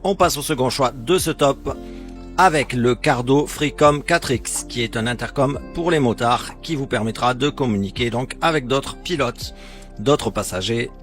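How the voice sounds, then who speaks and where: A man reads a scripted product comparison as a presenter, in a measured, explanatory sales style. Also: mid-range voice. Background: background music.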